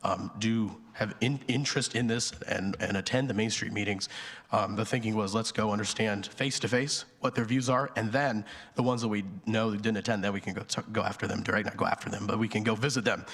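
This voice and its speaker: A man with a hoarse voice from a cold, a town planning director presenting to a board with many ums and restarts. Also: fairly low pitch, steady tone, a little fast, fairly bright tone. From North Carolina. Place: town council chamber at a podium. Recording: long reverb in a council chamber.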